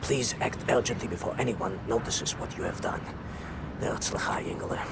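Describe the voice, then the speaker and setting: An actor playing a wry, learned older prisoner and inventor in a video game, eloquent and halting, with a creaky, breathy voice. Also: male voice, fairly low pitch, very noisy. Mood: instructing, urgent. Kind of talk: video game cutscene acting.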